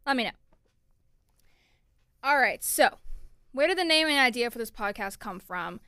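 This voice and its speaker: A twenty-year-old woman from Florida talks chattily as host of her own podcast, with a bright, forceful tone. Her voice is smooth and crisp, and the sound is dry and close. She keeps up an upbeat flow with plenty of "like" and "you know". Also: a little high, expressive, moderate pace, dry recording. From Orlando, Florida, USA. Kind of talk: casual solo podcast chat.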